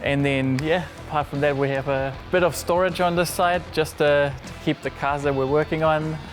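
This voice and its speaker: A man's voice: on the high side, moderate pace, soft background music. A middle-aged man from Germany with a German accent, talking casually and hesitantly about his workshop. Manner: relaxed, hesitant, many fillers and restarts. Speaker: workshop owner. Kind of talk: casual interview.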